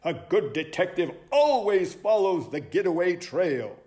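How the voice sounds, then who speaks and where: Storybook owl sleuth, played by a man: self-important, theatrical, high and very animated. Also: measured pace. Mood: excited accusing. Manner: pompous, declamatory. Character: dramatic, self-important, excitable male owl detective. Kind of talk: children's storybook character voice.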